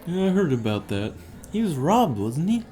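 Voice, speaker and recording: An actor playing an easygoing, skeptical college friend in an audio drama, talking in a casual, slightly halting, joking way. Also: mid-range voice, big pitch swings, warm tone, soft background music, lightly acted. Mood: flippant, curious.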